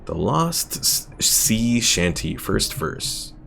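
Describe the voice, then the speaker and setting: A man's voice: fairly low pitch, sing-song, drawn-out words, vocal fry, fairly bright tone, slight background noise. A man streaming a strategy game, chatting casually, rambling about the lore and reading viewers' messages aloud. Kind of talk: casual gameplay commentary.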